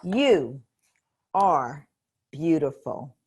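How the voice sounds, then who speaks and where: A woman reading aloud as a loving mother who gently reassures her daughter. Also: low-pitched, sing-song, extremely slow, breathy edge, pitched below the performer's own voice, exaggerated voice. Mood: tender.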